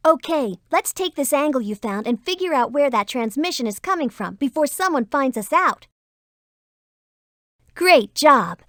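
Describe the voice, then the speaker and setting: High, animated young spy leader. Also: female voice, a little slow, dry recording, clean studio sound. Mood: encouraging. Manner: instructive, take-charge. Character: confident, practical young female spy team leader. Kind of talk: educational game cartoon acting.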